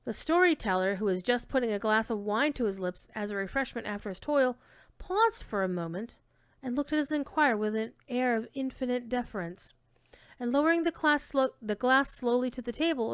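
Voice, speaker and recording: A woman reading old-fashioned literary prose aloud as a dramatic storyteller, with expressive, measured delivery. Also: fairly high pitch, clean tone, no echo, clean studio sound. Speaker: reader giving a dramatic reading.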